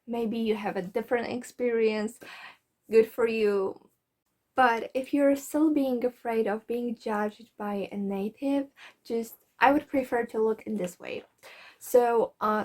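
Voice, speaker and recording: Polish woman vlogging, fairly high voice, earnest and blunt, swears a bit. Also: a little mellow. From Poland. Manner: earnest, frank, occasionally swears when fired up. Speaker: vlogger giving language-learning advice. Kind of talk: direct-to-camera advice talk.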